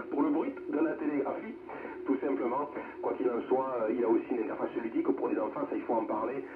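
Homme animant un réseau, son de radio étroit. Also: male voice, mid-range voice. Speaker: amateur radio net controller. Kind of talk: casual amateur radio net hosting. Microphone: heard through a radio receiver speaker. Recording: narrowband radio with noise and reverberation.

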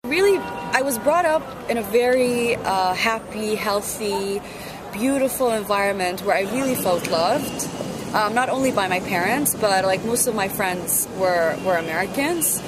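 A woman's voice: mid-range voice, animated, a little slow. A woman of twenty-four from Lebanon tells her life story in an interview, earnest and reflective, with frequent ums and restarts. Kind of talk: personal life story to camera. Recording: loud street traffic noise.

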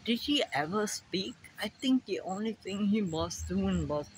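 An older woman with a German accent, musing slowly to a phone camera in her backyard about memory and family. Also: medium pitch, lively intonation, a little hiss or hum, low-quality recording. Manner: slow, musing, drifting between thoughts. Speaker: vlogger. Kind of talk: rambling personal monologue to camera. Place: backyard outdoors by a fence. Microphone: phone camera at arm's length. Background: crickets and insects.

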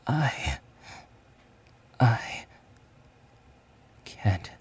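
A man voicing a brooding, conflicted young swordsman and tender lover in a romantic ASMR roleplay, halting and often whispering. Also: mid-range voice, big pitch swings, slightly rough, full character voice. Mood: yearning.

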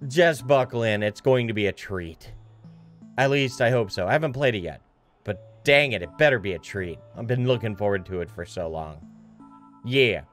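A man's voice: mid-range voice, fairly bright tone, clean tone. A man hosting a video game playthrough with casual commentary. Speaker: gaming host. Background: game music.

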